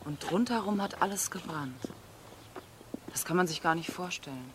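An actress as a quiet female visitor, very noisy. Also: on the low side, lively intonation, normal speed, close to an everyday voice. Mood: quiet wonder. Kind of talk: naturalistic radio drama dialogue. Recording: loud ambient noise, voice faint.

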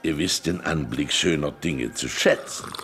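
A man's voice: extremely low pitch, a little slow, fairly bright tone, slightly rough, full character voice. An actor playing a vain, pleasure-loving king close to fifty in a 1970s radio play, with music behind. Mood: sly. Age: 40s. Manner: theatrical, sly, sweeping swings of tone. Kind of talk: 1970s radio drama acting.

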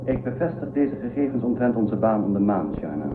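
A man acts out a male android officer on a starship in a sci-fi radio play, low-voiced and clinically calm. The delivery is precise and formal. The sound is thin and echoey under heavy noise. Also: a little fast, phone-quality sound, close to an everyday voice. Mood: grave, precise. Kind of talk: radio drama acting.

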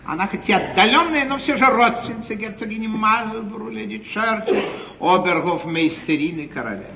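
An actor playing a sardonic, scheming English gentleman journalist in a 1950s stage comedy, declaiming with mocking, ornate politeness. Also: sits high, normal speed, vocal fry, crowd noise. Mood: triumphant, teasing. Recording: muffled, faintly noisy 1953 theatre broadcast.

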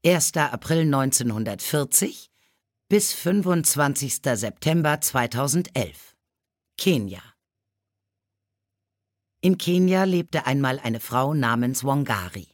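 A man reading scripted biographical stories for children as an audiobook narrator, measured and clearly articulated. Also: medium pitch, lively intonation, no echo, studio quality.

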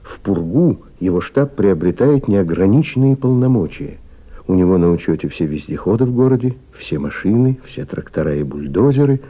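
An actor narrating a radio drama in a formal, explanatory, solemn manner. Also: male voice, lowish voice, lively intonation, moderate pace, dark tone, husky, dull audio. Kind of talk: scripted narration.

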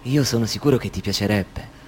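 An actor playing an anxious, controlling man in a radio play, who talks insistently and broods, dropping to a hush and then pressing hard. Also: fairly low pitch, brisk, slight background noise, close to an everyday voice. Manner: brooding, insistent, swings between hush and urgency. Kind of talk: Italian radio play acting.

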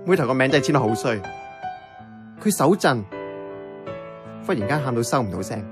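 A man's voice: mid-range voice, lively intonation, normal speed, natural voice. An actor playing an earnest, grieving young male heir in a Hong Kong Cantonese radio drama, speaking a reflective inner monologue. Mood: sorrowful, reflective. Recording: music under the voice.

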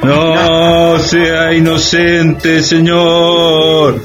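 A wistful, all-knowing male ghost for radio theatre. He intones high and extremely slowly in a singsong way, over prominent music and a muffled recording. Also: completely flat delivery. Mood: solemn, admonishing. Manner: drawn-out, singsong, otherworldly. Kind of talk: Latin American radio theatre acting.

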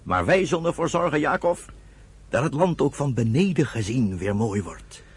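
Husky, steadfast young pioneer. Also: male voice, mid-range voice, sing-song, normal speed. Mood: reassuring. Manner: earnest, encouraging. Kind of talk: Dutch radio play acting.

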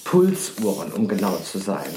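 A man's voice: low-pitched, huge pitch swings, normal speed, dark tone. A man playing a smug official who explains cynical measures matter-of-factly in a mock-documentary interview. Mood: smug, explanatory. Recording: roomy echo, faint noise.

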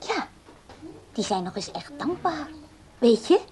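A woman's voice: a little high, sing-song, unhurried. An actress playing a simple, kind-hearted young woman in a radio play, speaking in an artless, shyly hesitant way. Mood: touched, earnest.

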